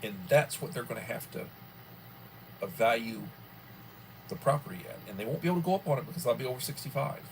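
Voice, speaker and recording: A man's voice: medium pitch, expressive, fast talker, pauses often, a little hiss or hum, poor audio. Rambling man in his sixties, chatty host. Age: 60s. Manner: rambling, with many fillers. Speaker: livestream host. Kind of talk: casual livestream chat. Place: home.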